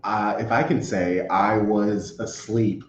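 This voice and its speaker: Low-voiced man in an echoey room. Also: fairly even intonation, slow pace. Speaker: podcast guest. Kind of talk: casual storytelling. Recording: echoey room, dull sound, audible noise.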